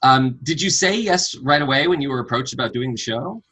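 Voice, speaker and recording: A man's voice: mid-range voice, animated, brisk, pushed and bright, slightly rough. A man interviewing a guest over a video call, friendly and earnest, with many fillers. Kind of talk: remote interview.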